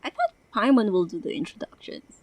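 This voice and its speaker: A woman's voice: medium pitch, huge pitch swings, a little fast. A woman giving casual, playful commentary while playing through a game, in a drawling manner. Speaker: gameplay video maker. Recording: faint background noise.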